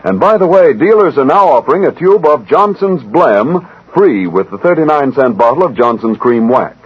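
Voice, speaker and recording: A man's voice: medium pitch, big pitch swings, normal speed, breathy edge. An American man reading a scripted product advertisement as a 1940s radio announcer. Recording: muffled 1940s radio recording.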